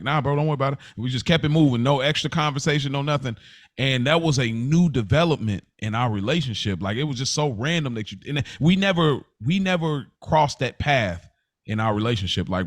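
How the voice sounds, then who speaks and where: A man's voice: mid-range voice, no echo. A man speaking casually and earnestly in informal slang, telling personal stories as a podcast co-host. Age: adult.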